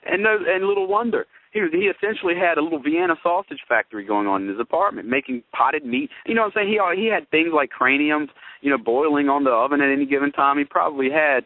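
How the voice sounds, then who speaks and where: A man's voice: on the high side, fast talker, no echo. A man co-hosting a talk show, giving conversational astrological analysis in a wordy, expository way with rhetorical questions. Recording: narrow phone-like radio sound.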